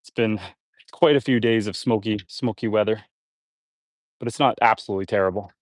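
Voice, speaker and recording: A man's voice: on the low side, a little fast, no echo, studio quality. Chatty, slightly congested homesteader man. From Canada. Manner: relaxed, chatty, reads viewer comments aloud. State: a little congested. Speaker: homesteader live-streaming. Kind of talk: casual live-stream chat while building. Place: outdoors on a homestead.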